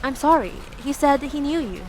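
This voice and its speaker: A woman as an apologetic, slightly high-voiced shop assistant. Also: expressive, fairly quick, a little hiss or hum, low-quality recording. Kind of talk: audio drama acting.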